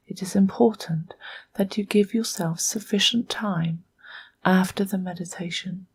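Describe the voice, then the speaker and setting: A woman leads a guided meditation in a lowish, extremely breathy voice. Her delivery is very slow and hushed, often close to a whisper. She sets out short, measured phrases with long pauses between them. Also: rough recording.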